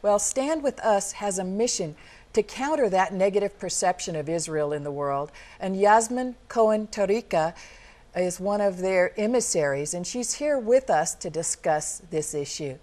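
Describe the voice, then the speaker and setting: A woman's voice: medium pitch, moderate pace. A woman hosting a TV interview show, asking questions in a friendly way and backing the guest with frequent "mm-hmm". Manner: friendly, with steady "mm-hmm" backchannels. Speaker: TV show host. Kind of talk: TV studio interview.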